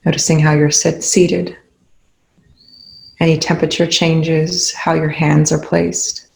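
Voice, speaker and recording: A meditation instructor leading a guided session in a soothing, gentle, unhurried way with long pauses. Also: mid-range voice, flat delivery, vocal fry, echoey room, rough recording.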